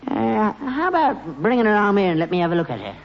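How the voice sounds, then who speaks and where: A man's voice: high voice, very animated, fast talker, gravelly, full character voice. An actor playing a crafty, cheeky old London father, sly and cantankerous, in British sitcom style. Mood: wheedling. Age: older. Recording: muffled, noisy archive recording, echoey hall.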